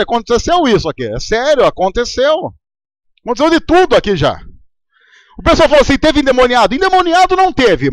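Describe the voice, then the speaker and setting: Lively high-pitched Brazilian pastor. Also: male voice, no echo. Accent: Brazilian Portuguese. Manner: conversational preaching with anecdotes. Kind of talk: sermon.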